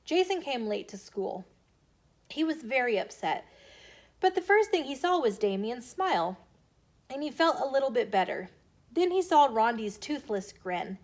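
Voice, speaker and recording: An American woman reading a children's storybook aloud in an expressive, animated way as a storytime host. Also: a little high. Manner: animated, emphatic character dialogue.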